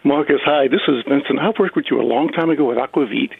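A man's voice: mid-range voice, fast talker, gravelly, slightly rough, dry recording. A man calling in to a radio show, a cook, thanking the guest gratefully. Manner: grateful, warm toward guest. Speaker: caller, cook. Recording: tinny phone line.

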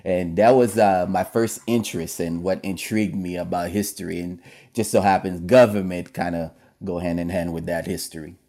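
Male teacher from Haiti, very deep voice, pauses and fillers as he answers a radio host. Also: fairly even intonation, warm tone. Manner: hesitant, many fillers. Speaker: history and government teacher. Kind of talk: radio interview guest.

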